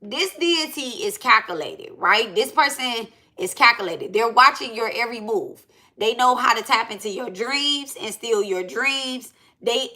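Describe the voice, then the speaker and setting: Bright-voiced blunt woman in her thirties. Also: a little high. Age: 30s. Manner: animated and blunt, with slangy asides and repeated "right?" and "okay?". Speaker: spiritual reader and channel host. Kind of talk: unscripted spiritual talk to a live audience.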